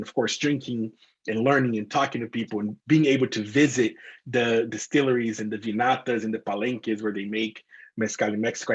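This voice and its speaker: A man's voice: fairly low pitch, husky, lo-fi sound. A young man, a bartender and tequila expert talking casually and eagerly in an interview, full of "like" and "you know.". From Brazil. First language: Portuguese. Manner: enthusiastic, filler-heavy with "like" and "you know".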